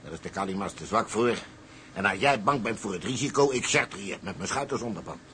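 An actor playing a boastful, wily older tugboat skipper with a salty, colloquial way of telling things. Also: male voice, lowish voice, animated, quick pace, bright, forceful tone, slight background noise. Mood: scheming, insistent. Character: wily, boastful old colonial tugboat skipper, a persuasive schemer. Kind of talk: dramatised dialogue, storytelling in character.

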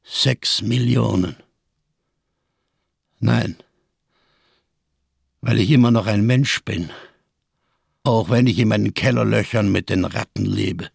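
Embittered male survivor, husky and cracked, close dry sound, solemn biblical rhetoric, radio drama monologue. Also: fairly low pitch, slow pace, pauses often, no echo, exaggerated voice. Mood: grave, defiant. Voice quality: gravelly, husky. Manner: solemn, rhetorical, biblical diction, bitterly sardonic.

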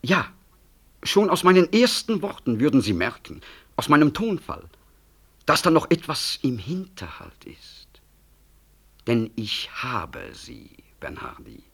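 Swooping, self-important politician. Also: male voice, medium pitch, sing-song, a little slow, vocal fry, fairly bright tone, husky, exaggerated voice. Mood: self-satisfied, grandiose. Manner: hedging, rhetorical, pompous. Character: smooth, self-important politician and doctor, evasive, grandiloquent. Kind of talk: 1960s German radio drama acting.

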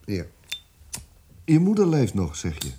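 An actor playing a condescending South African police interrogator in a radio drama, prodding with short, sardonic questions. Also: male voice, on the low side, wildly swooping pitch, a little slow, dark tone, a little hiss or hum. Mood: coolly menacing.

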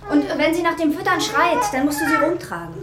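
An actress in a radio drama playing a brisk, businesslike younger woman. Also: very high-pitched, fairly even intonation, heavy background noise. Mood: brisk, stern. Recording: roomy, echoing sound.

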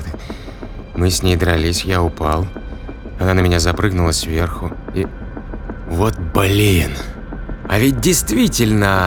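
A man's voice: low-pitched, close to an everyday voice. A man playing a tough, sardonic spaceship crewman in an audio drama, questioning a captive in pointed, colloquial speech. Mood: alarmed realisation.

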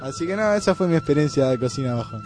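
A man's voice: high-pitched, huge pitch swings, quick pace, over music. A man with a Buenos Aires area Argentine accent, chatting casually as a guest in a radio studio. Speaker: studio guest, band member. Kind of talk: casual chat, anecdote.

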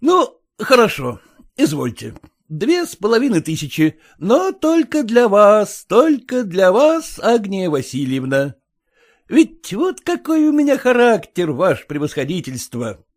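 A man's voice: high voice, huge pitch swings, measured pace, voice pushed up, heavily acted. A man doing a whiny, haggling petty clerk. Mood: wheedling haggling. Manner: haggling, whining, obsequious. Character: stubborn haggling male clerk, fawning and petty.

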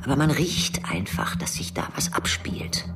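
Female intelligence officer briefing a colleague: low, clipped, secretive, radio thriller, music behind. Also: very animated, unhurried, whispered, far from the mic, exaggerated voice. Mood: wary. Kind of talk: radio drama acting, low dialogue.

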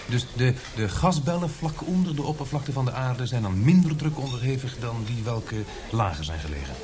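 A man's voice: medium pitch, expressive, brisk, darkish tone, close to an everyday voice. An actor in a radio drama playing a polite, hesitant male newspaper reporter who questions deferentially. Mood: inquisitive.

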